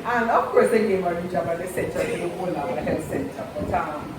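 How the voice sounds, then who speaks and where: A woman's voice: lowish voice, lively intonation, music in the background. Mother telling a story in an echoey room. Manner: measured, searches for words. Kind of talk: casual storytelling. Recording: echoey room, distant mic, background noise.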